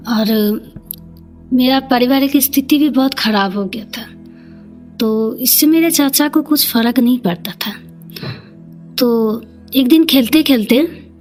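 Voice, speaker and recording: A woman with an eastern Indian regional Hindi accent telling her personal story in a plain-spoken, halting, colloquial way. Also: on the high side, darkish tone. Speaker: community leader, podcast guest.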